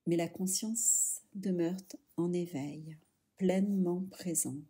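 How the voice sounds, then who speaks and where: A woman guides a meditation in a soft, breathy voice that sits fairly low. She takes her time, leaving long pauses between short, soothing instructions, as a yoga nidra teacher does.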